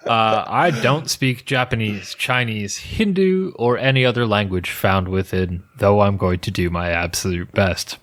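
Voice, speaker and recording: Low-voiced joking podcast host. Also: male voice, measured pace, few pauses, fairly bright tone. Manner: loose and jokey, with frequent restarts and laughs. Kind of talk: casual podcast chat, presenting researched lore.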